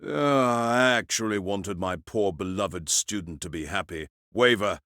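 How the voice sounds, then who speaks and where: A man's voice: low voice, a little slow, a bit bright, dry recording, studio quality. A man playing a resentful mage lecturer villain in an audio drama, mocking and malicious, drawing out his words. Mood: mock pity.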